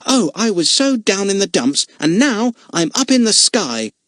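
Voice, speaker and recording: A man doing an excited young circus boy. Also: sits high, a little slow, no room sound, clean studio sound, pitched above the performer's own voice, full character voice. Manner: bright and excited.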